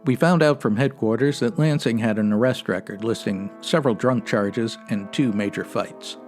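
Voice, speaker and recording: A man's voice: mid-range voice, normal speed, music in the background, close to an everyday voice. A man playing a stoic, no-nonsense 1950s police sergeant, narrating in clipped, matter-of-fact radio detective style. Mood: flat, factual. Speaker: actor in a comedy audio drama.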